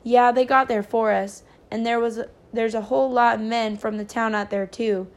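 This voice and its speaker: A man's voice: extremely high pitch, fairly even intonation, normal speed. A woman voicing an earnest, slightly puzzled country boy in an audiobook. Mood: earnest, matter-of-fact. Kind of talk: audiobook character dialogue.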